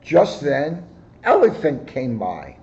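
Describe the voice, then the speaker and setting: A man reading a children's picture book aloud in a deliberate, expressive storyteller manner, with slightly muffled sound. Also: medium pitch, creaky. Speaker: guest story reader, police officer.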